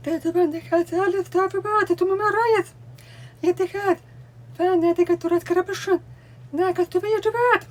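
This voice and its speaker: A woman with a Russian accent, a native Russian speaker, explaining at home in a deliberate way while she signs along. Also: very high voice, fairly even intonation. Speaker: language learning vlogger. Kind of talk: explaining while showing books. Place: home room with bookcase, indoors.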